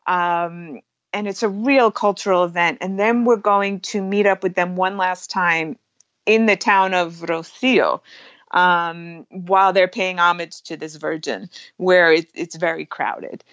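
Lowish-voiced woman, dry and slightly dull recording. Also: fairly even intonation, measured pace, a bit bright, dry recording. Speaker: photographer and workshop teacher, interview guest. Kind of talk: casual interview chat.

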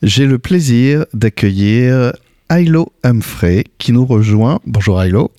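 A man's voice: medium pitch, expressive, slow pace, warm tone, no echo. A male radio host running a morning interview in a friendly way, with many fillers. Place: radio studio.

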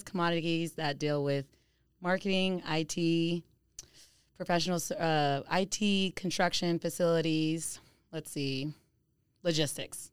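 A woman's voice: sits low, clean tone, no echo, studio quality. A young adult American woman chatting casually as a guest on a podcast. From Phoenix, Arizona, United States. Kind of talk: casual chat, conversational interview.

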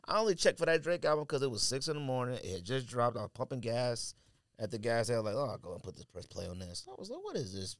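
A man hosting a sneaker podcast, talking in a casual, blunt and slangy way, quick to argue. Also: medium pitch, sing-song, no echo, clean studio sound. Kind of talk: casual chat and debate.

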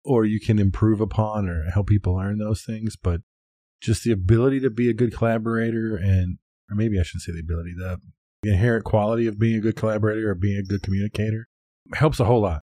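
A man co-hosting a podcast in casual conversation, measured and rephrasing as he thinks. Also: on the low side, fairly even intonation, warm tone, dry recording. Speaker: podcast co-host.

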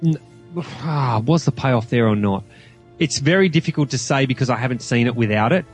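A man's voice: mid-range voice, expressive, brisk, soft background music. A man with an Australian accent, talking casually as a guest podcaster in a film review discussion.

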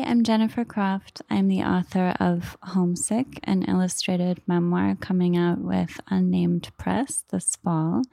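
An American woman in her thirties telling personal stories in a measured, thoughtful way, often pausing mid-phrase. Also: lowish voice, creaky, mellow, dry recording. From Oklahoma, United States. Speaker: author and literary translator. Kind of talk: reflective personal storytelling.

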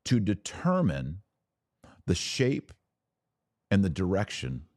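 A man giving explanatory religious commentary as a podcast host, speaking in an emphatic, deliberate teaching manner and repeating phrases for effect. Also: low voice, wildly swooping pitch, a little mellow, no echo, studio quality.

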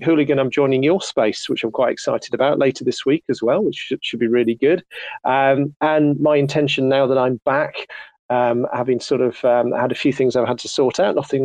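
A man's voice: medium pitch, a little mellow, no room sound, dull audio. A man hosting an online audio chat, soothing and polite, with many fillers and restarts. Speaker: host. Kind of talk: casual chat.

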